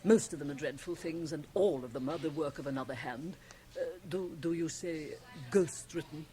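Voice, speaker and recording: A woman's voice: low voice, music in the background, lightly acted. An actress playing an imperious, shrewd woman who runs a company, formal and persuasive in a radio drama. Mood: businesslike persuasion. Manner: formal, deliberate, coaxing. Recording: hissy 1980 radio cassette transfer.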